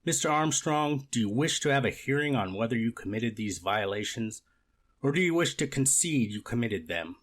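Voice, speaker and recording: A man's voice: medium pitch, lively intonation, normal speed, fairly bright tone. A man playing a formal, methodical court official in a scripted readers theater courtroom drama. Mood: procedural, authoritative. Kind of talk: amateur readers theater, scripted legal reading.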